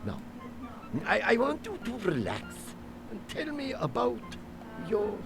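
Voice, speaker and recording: An actor playing an eccentric quack psychiatrist in an adventure game, halting and clinical in manner. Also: mid-range voice, wildly swooping pitch. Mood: soothing, clinical. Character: quack psychiatrist, soothing yet jumpy and eccentric.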